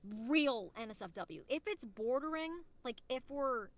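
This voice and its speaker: A woman's voice: fairly high pitch, animated, unhurried. A woman chatting casually as an interview guest, talking informally and thinking aloud.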